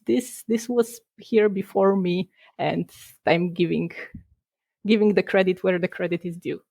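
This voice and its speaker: A young woman from Poland chats through an interview, restarting words and laughing between points. Her voice sits in a middle pitch, recorded dry and close. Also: creaky, darkish tone, no room sound. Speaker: guest, game designer. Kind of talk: casual podcast interview.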